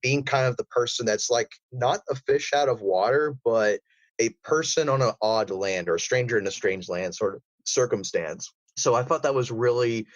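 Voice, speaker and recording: A man's voice: mid-range voice, normal speed, no echo, lo-fi sound. A man chatting casually as a podcast guest and voice actor. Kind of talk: casual chat.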